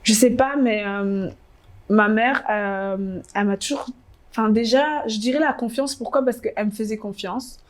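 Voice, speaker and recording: A woman's voice: fairly high pitch, normal speed. A woman in her twenties from Congo, telling her story in a casual interview, hesitant with many fillers and restarts. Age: 20s. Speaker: interviewee, fashion blogger.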